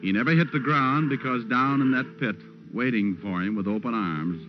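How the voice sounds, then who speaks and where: An American actor playing a wry, hard-boiled private detective who narrates an old radio crime drama in slangy style. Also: male voice, mid-range voice, moderate pace, shouting, close to an everyday voice. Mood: tense narration. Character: wisecracking hard-boiled male private detective, cool and sardonic. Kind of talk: 1940s-50s radio detective drama acting. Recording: old muffled radio recording with music.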